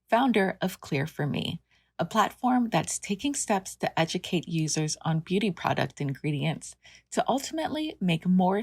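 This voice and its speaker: Upbeat woman host for an interview podcast, slightly low, breathy tone, dry recording. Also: a little mellow, no echo. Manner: enthusiastic, friendly.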